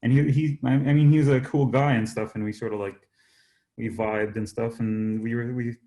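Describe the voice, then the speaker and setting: A man, a singer-songwriter guest, chatting casually in an interview in a hesitant, halting way that often repeats words. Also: mid-range voice, fairly even intonation, warm tone. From Jordanian-born, Canada.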